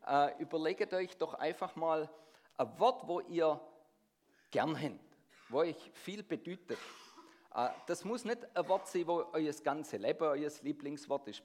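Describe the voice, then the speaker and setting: A middle-aged man from near Stuttgart with a Swabian accent tinged by Swiss dialect, preaching a sermon and drawing the congregation in with questions. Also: fairly high pitch. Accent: Swabian-tinged Swiss German. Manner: engaging, asks the congregation questions.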